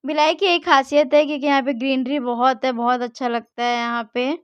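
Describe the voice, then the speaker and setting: A woman's voice: high voice, steady tone, a little fast, clear, resonant tone, no room sound, dull audio. A young woman, chatting casually through her vlog and often correcting herself mid-sentence. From India. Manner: chatty, rambling, frequent self-corrections. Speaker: vlogger.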